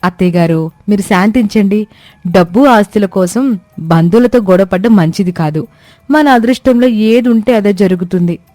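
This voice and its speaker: A woman voicing a gentle, sensible young daughter-in-law in a cartoon story, speaking politely and soothingly. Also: mid-range voice, moderate pace, no echo, soft background music, natural voice. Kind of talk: animated moral story cartoon dubbing.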